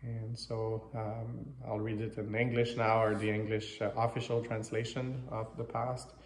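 French canadian priest guy, native french speaker, pretty flat delivery, halting homily, lots of reverb. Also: fairly low pitch, slight background noise. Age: 30s to 40s. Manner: halting, reflective, with many pauses. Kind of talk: informal homily. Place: small chapel, indoors. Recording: echoey, reverberant chapel sound.

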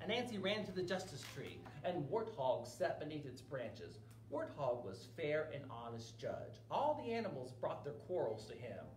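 A man in his forties telling a children's story in an expressive read-aloud style as a library storyteller. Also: a little high, normal speed, few pauses, far from the mic. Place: indoor library children's room. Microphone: camera a few meters away. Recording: roomy with steady background noise.

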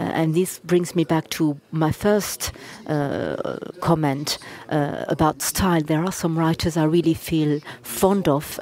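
An interpreter relays audience remarks in real time at a panel discussion. The voice sits at an ordinary middle pitch. It stalls on fillers and restarts half-finished phrases as it catches up. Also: darkish tone. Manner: hesitant, frequent uh fillers and restarts. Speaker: conference interpreter. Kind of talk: simultaneous interpretation of audience questions.

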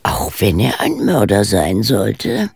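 An actor playing a composed, dryly witty male amateur sleuth in a German detective radio play, with a measured, slightly formal delivery. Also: fairly low pitch, huge pitch swings, creaky, darkish tone, breathy edge, no echo, heavily acted. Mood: composed, deductive.

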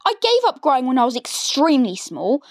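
A woman's voice: sits high, wildly swooping pitch, brisk, no room sound, clean studio sound, exaggerated voice. A woman voicing a tiny, nasty, bossy old grandmother who snaps and scolds in a read-aloud story. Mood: scolding. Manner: snapping, scolding.

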